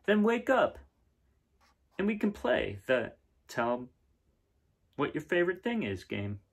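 A man's voice: high voice, big pitch swings, slow pace, vocal fry, husky, voice pushed up, heavily acted. A man voicing an opinionated, bossy little farm girl from a storybook, emphatic and matter-of-fact. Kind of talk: storybook read-aloud.

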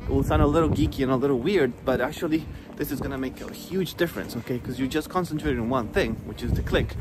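A man's voice: mid-range voice, fast talker, over music. A man in his thirties giving a casual saxophone lesson while walking outdoors on a rainy street, talking upbeat and chatty into a phone held at arm's length. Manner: chatty, upbeat, says "okay?" often. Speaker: saxophone teacher.

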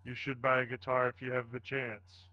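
A man's voice: medium pitch, completely flat delivery, normal speed, no echo. A synthetic man's voice in a speech demo, casual and chatty, in dull, dry lo-fi audio.

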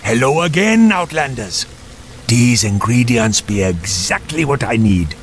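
Slow old troll shaman, Jamaican-style accent. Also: medium pitch, big pitch swings, background noise. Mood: friendly. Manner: slow, deliberate, mystical. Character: old troll shaman, wise, calm, kindly elder. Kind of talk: video game cutscene acting. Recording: game music and rain behind.

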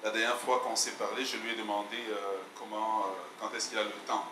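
A man in his forties with a mixed Canadian and Belgian French accent, a guest pastor opening his sermon in a measured, hesitant way on a handheld mic. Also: lowish voice, little pitch movement. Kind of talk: sermon introduction. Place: large church hall, indoors. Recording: echoey church hall, noisy.

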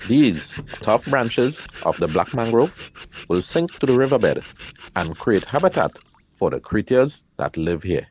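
A middle-aged man, calmly narrating his own kayak outing in a measured, explanatory voice-over. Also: mid-range voice, slight background noise. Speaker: kayaker and channel host.

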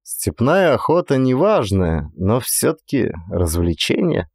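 Expressive male audiobook narrator, lively pitch. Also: measured pace, breathy edge, no echo, clean studio sound.

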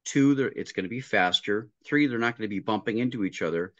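A middle-aged man explaining over a video call, his lowish voice in dry, slightly muffled audio. He tells practical stories step by step, acting out both sides of the conversation. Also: fast talker, no echo. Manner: explains step by step, acting out dialogue, frequent restarts. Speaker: restaurant consultant guest. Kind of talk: casual interview storytelling. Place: home room with a painting behind him.

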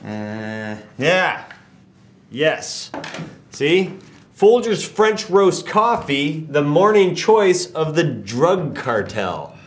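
A man's voice: on the high side, big pitch swings, drawn-out words, heavily acted. An actor playing a sardonic, hot-tempered adman with a combative manner, in naturalistic screen comedy. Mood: smug. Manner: sarcastic, combative. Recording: echoey room, faint noise.

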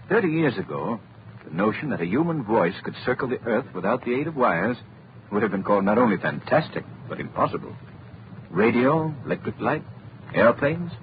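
A man playing a wealthy, calm young gentleman in 1940s radio acting style, polished and courteous. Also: lowish voice, slight background noise. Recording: tinny lo-fi old radio recording.

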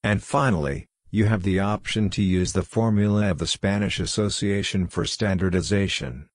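A man reading scripted instructional narration for a software tutorial in a formal, measured way, phrase by phrase. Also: on the low side, steady tone.

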